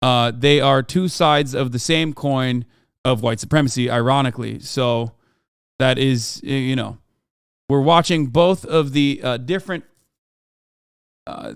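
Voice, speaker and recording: A man's voice: medium pitch, moderate pace, clean tone, dry recording. Man, streamer, heated profane commentary, lecturing, dry close sound. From Turkey. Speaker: streamer reacting to videos.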